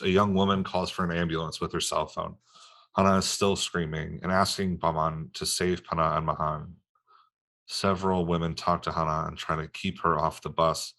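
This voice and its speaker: A man's voice: deep voice, fairly bright tone. A man reading stage directions as the narrator of a screenplay table read.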